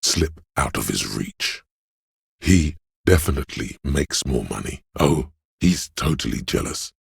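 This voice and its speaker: Casting: snobbish, money-mad hustler in a game, extremely low and very husky, coaxing and smug. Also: male voice, expressive, measured pace, no echo, clean studio sound, exaggerated voice. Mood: snide, envious. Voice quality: very deep, gravelly, husky. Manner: smug, coaxing salesman-like persuasion. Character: slick money-hungry hustler inner voice, male, scheming and snobbish. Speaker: video game voice actor. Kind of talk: scripted game voice-over, inner-voice advice.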